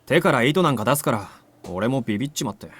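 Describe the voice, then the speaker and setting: A cocky young man in a visual novel, talking fast with lively, swinging pitch. His delivery is rough, offhand and nonchalant, recorded dry and close. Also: dry recording. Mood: exasperated, defensive. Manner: rough, casual, offhand masculine speech. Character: cocky, nonchalant young male schoolmate explaining himself. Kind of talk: Japanese visual novel voice acting.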